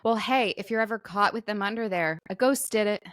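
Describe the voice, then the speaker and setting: A woman's voice: mid-range voice, a little fast, no room sound. Woman bantering in a close, dry recording. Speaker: podcast co-host. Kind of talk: casual comedic chat.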